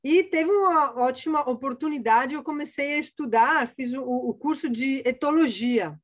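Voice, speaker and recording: Brief: Italian-accented woman, forties, chatty scientist guest, slightly high voice. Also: moderate pace. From Italy. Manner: chatty, many fillers and restarts. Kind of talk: casual interview answers.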